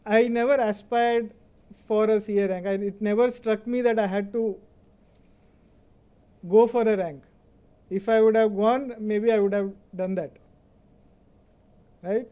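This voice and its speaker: Middle-aged Indian man, native Kannada speaker, Indian English accent, highish voice, convocation speaker, chatty motivational tone. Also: steady tone, unhurried, long pauses, mellow, clear, resonant tone, muffled recording. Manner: conversational, often checks in with "right?". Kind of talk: motivational speech.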